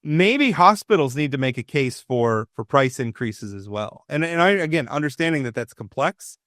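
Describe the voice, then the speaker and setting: A man's voice: mid-range voice, expressive, moderate pace, a bit bright, no echo, studio quality. A man hosting a talk show, chatting opinionatedly and restarting his sentences often. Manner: opinionated, frequent restarts and stammered repeats. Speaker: radio talk show host. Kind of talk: casual political chat.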